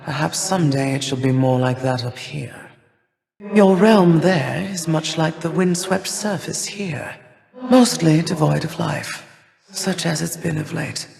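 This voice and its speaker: A woman playing an imperious, cold older goddess of winter and harvest in a video game, with stately, formal, measured delivery. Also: low voice, vocal fry, a crowd in the background. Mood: stern, regal. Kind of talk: theatrical video game character lines. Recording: long reverberant hall echo.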